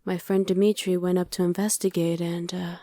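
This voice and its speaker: A woman's voice: fairly low pitch, level tone, fairly quick, mellow, dry recording, clean studio sound, close to an everyday voice. A woman playing a friendly, streetwise young survivor of a demon invasion in an ASMR roleplay, talking casually and matter-of-factly to the listener with some hesitation. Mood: casual recounting. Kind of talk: ASMR roleplay, conversational acting addressed to the listener.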